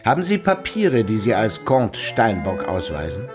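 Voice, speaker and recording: An actor playing a self-assured, amorous middle-aged baron with courtly manners in a German radio drama. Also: male voice, lowish voice, wildly swooping pitch, moderate pace, faint music behind. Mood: patronizing.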